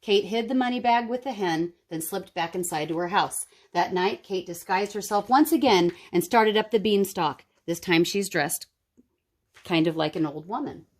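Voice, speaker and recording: A woman's voice: medium pitch, normal speed. Woman reading expressively to children. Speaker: storyteller for children. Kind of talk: expressive picture-book read-aloud.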